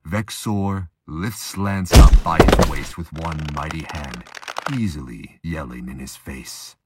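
A man's voice: extremely low pitch, takes it slowly, heavy background noise. A man reading scripted dramatic narration for a fan-made audio drama.

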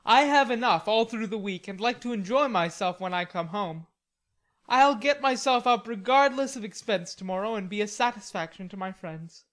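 A woman voicing a cheerful, theatrical young gentleman who teases and exclaims, as character dialogue in an audiobook. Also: mid-range voice, expressive, normal speed, voice pushed up, close to an everyday voice. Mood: easygoing. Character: cheerful, teasing young gentleman, tall and melodramatic.

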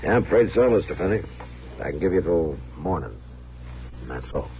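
Frontier lawman, very low register, stern, fair, measured delivery; 1950s radio western, narrow noisy sound. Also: very animated. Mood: regretful, firm. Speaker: lead actor in a radio western. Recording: noisy, band-limited 1950s radio recording.

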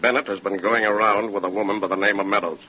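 An actor playing a confident, dogged police sergeant with emphatic delivery in a 1930s radio drama. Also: male voice, lowish voice, steady tone, sharp tone. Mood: triumphant. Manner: deliberate, emphatic. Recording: noisy, dull 1930s radio recording.

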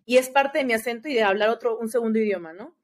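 A young Mexican-accented woman with a fairly high voice recounts a story in lively, casual conversation. She talks fast and animated, constantly checking in with her listener. It sounds like an easygoing chat between friends. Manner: animated, chatty, often adds "you know?" tags. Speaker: guest.

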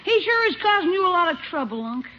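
An actor playing a cheeky, wisecracking schoolboy nephew in a 1950s radio comedy, with slangy, blunt delivery. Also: male voice, extremely high pitch, big pitch swings, fast talker, bright, forceful tone, full character voice. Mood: nagging, concerned. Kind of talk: 1950s radio sitcom acting. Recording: muffled old radio recording, faint noise.

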